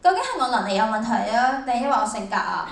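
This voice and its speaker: A woman's voice: on the high side, animated, quick pace, creaky, recorded from a distance. A teenage girl playing a frustrated, idealistic young angel in a radio drama, with dramatic, declamatory delivery. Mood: weary. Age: teens. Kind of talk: stage radio drama acting. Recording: echoey hall, off-mic.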